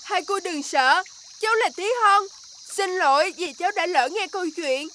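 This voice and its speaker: A woman voicing a tiny, polite and brave little boy in an animated fairy tale. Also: very high-pitched, moderate pace, slight background noise. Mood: polite, eager.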